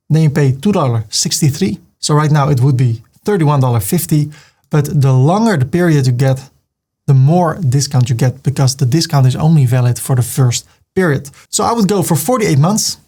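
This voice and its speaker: A man's voice: on the high side, darkish tone. A man with a Dutch accent, from the Netherlands, giving a screen-recorded walkthrough step by step and reading the on-screen prompts aloud. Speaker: web tutorial host.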